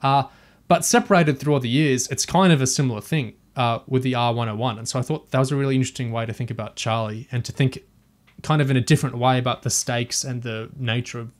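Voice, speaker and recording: A man's voice: mid-range voice, fast talker. Man musing casually on a podcast. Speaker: podcast panelist discussing audio drama. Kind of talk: casual discussion.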